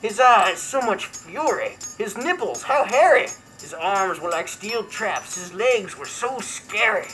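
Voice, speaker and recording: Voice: medium pitch, lively intonation, measured pace, few pauses, fairly bright tone, low-quality recording. A performer voicing a brash, crude martial arts buddy character, reading comic verse in a mock-dramatic, theatrical way. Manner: mock-dramatic storytelling, irreverent. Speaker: comedy show host character. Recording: music behind the voice.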